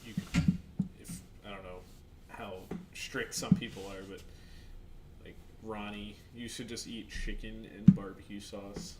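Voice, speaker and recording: A man's voice: fairly low pitch, level tone, unhurried. A man co-hosting a casual podcast, laid-back and laughing easily as he reads out viewer questions. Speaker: podcast co-host. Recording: distant mic with loud noise.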